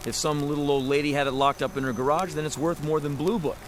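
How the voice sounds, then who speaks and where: A man's voice: fairly high pitch, steady tone, fast talker, noisy background, lightly acted. An actor playing a shady, wisecracking used-car salesman in a radio drama, flustered by his own honesty. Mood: slick, boastful. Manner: folksy, wisecracking, colloquial patter.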